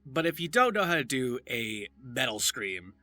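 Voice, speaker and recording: Sharp, animated man giving casual tutorial. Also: medium pitch, moderate pace. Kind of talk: loose, casual instructional talk.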